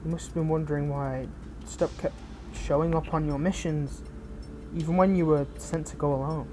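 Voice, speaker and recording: Woman doing a fallen hero for an audio rp, dark soft-edged tone, protective and bitter, halting, noisy background. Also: low voice, steady tone, natural voice. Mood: worried, frustrated. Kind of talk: scripted audio roleplay addressed to the listener.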